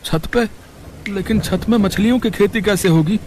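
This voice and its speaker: A poor, dejected fisherman from a village story, acted by a man. His voice sits slightly high for a man and sounds plaintive and weary. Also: normal speed, noisy background, natural voice. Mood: puzzled.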